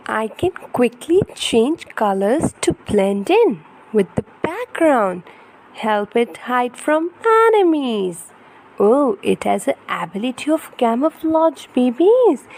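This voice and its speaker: Woman, high voice, unhurried children's read-aloud, teacherly delivery, faint background noise. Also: darkish tone, poor audio. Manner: teacherly, addresses children, asks questions, exclaims. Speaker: host reading to young children.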